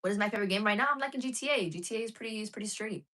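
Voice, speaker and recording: A woman's voice: mid-range voice, racing, a bit bright. A woman live streaming casually to her viewers, chatting frankly and reading out chat messages. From United States.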